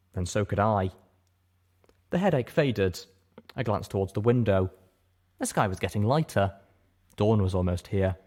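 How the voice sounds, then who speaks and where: A man's voice: on the low side, darkish tone. A man reading a first-person story aloud as dramatic scripted narration. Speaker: audiobook reader.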